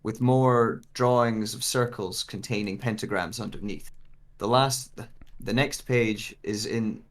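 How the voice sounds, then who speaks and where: Man acting a low-voiced searcher with lost memory. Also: measured pace, natural voice. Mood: pensive, searching. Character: bewildered searcher with lost memory, reading found notes. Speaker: actor reading a script. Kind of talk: dramatic first-person narration, script readthrough.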